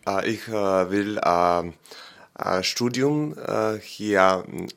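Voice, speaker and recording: A man from Serbia, a native Serbian speaker, answering in halting speech with many fillers. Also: fairly low pitch, vocal fry, a bit bright, clean tone. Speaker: interviewee.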